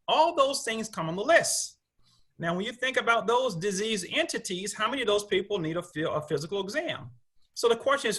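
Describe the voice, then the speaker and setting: A man gives a medical lecture in a high, bright voice. He talks loosely and conversationally, restarting phrases and slipping into short quoted remarks as he moves through his slides. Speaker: rheumatologist giving a lecture. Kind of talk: conference lecture over slides.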